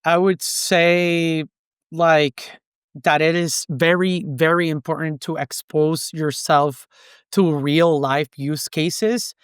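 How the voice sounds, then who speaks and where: Clear-voiced Puerto Rican man, slightly high pitch. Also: fairly even intonation, takes it slowly, dry recording, clean studio sound. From Puerto Rico. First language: Spanish. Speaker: podcast guest, consultant. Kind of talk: conversational interview, explanatory advice.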